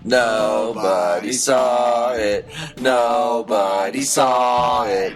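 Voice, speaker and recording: Guy acting dejected dude, draggy slow delivery, audio drama, music loud behind. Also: medium pitch, steady tone. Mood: insistent, anguished.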